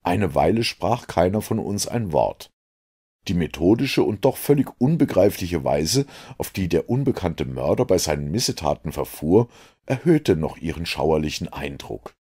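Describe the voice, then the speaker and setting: A man reading a classic detective story aloud, his voice extremely deep and gravelly with a husky edge. He narrates in a measured, grave storytelling manner. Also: very animated, dark tone. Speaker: audiobook narrator. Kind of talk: scripted narration.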